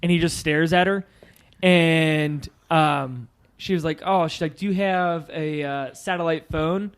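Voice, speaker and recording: A man's voice: a little high, a little slow, clear, resonant tone. A man co-hosting a film podcast, talking casually and loosely, sometimes retelling scenes conversationally. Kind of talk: casual movie discussion.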